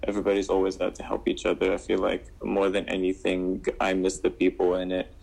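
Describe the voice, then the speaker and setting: A man's voice: low-pitched, completely flat delivery, a little fast, gravelly, a little mellow, rough recording. A young man from Syria answering interview questions casually, with many fillers and restarts. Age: 20s. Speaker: guest.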